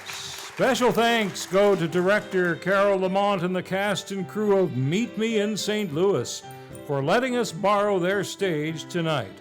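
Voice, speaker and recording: A man's voice: high voice, a little slow, some chatter behind. A man working as announcer, reading scripted closing credits for a live stage radio show. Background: faint music.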